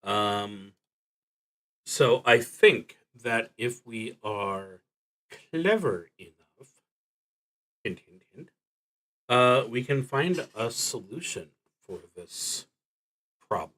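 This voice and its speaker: Bright, forceful man narrating a solo game. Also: medium pitch, lively intonation, drawn-out words, pauses often, low-quality recording. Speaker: solo tabletop roleplaying streamer. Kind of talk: live play narration, thinking aloud.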